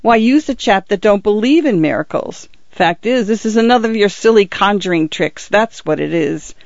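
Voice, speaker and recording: A man's voice: sits high, expressive, normal speed, gravelly, no echo, pitched above the performer's own voice. Male reader playing a scornful, blustering constable. Raised voice, dry and muffled recording. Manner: dropped aitches, bullying, scornful. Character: gruff male village constable, blustering and suspicious.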